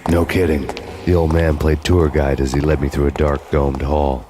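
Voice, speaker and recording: An actor playing a hard-boiled, world-weary vigilante who narrates his story in a deadpan, sardonic noir style. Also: very deep voice, moderate pace, noisy background. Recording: music behind the voice.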